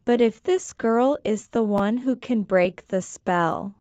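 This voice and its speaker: A woman language teacher slowly repeating scripted lines for shadowing practice, enunciating each one with care. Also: on the high side, noisy background. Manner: careful, deliberate enunciation.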